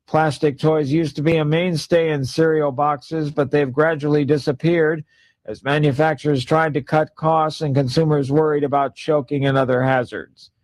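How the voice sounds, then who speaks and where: A man reading a news report in a steady newsreader style. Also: a little high, moderate pace, no room sound.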